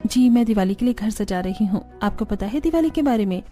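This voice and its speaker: A woman's voice: mid-range voice, lively intonation, brisk, dark tone, pitched below the performer's own voice, lightly acted. Woman as an earnest young cartoon daughter-in-law. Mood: earnest explaining. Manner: polite, explanatory. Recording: music bed under the voice.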